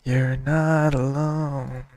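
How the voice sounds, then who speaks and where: Slow-talking man doing casual gameplay commentary. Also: medium pitch, flat delivery, darkish tone. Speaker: gamer playing and reacting.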